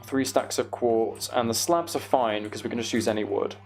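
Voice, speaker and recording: Male game streamer, mid-pitched gravelly voice, casual thinking-aloud commentary, muttering to himself, faint background noise. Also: brisk. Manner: muttering to himself while working things out.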